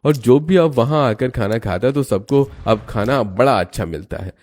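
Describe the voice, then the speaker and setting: A man narrates a scripted family story for a cartoon. His voice is mellow and relaxed, at an average male pitch. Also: moderate pace.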